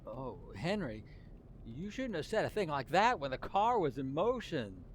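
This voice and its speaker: A carefree, boyish teenager played by a man in a vintage radio comedy. He drawls slowly in a high voice, with very lively swings of pitch. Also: a little hiss or hum. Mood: indignant. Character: goofy, carefree teenage boy, clumsy driver. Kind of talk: old-time radio comedy acting.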